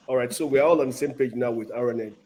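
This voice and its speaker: A man from Nigeria, hosting an online panel in a relaxed way, often saying "you know". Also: medium pitch, fast. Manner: relaxed, leans on "you know", light laughter. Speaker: host. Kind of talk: casual panel chat.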